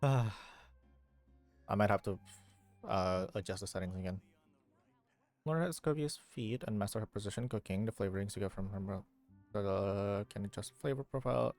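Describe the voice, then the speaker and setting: A man's voice: fairly low pitch, a little mellow. A man livestreaming a video game with casual, wry, grumbling commentary.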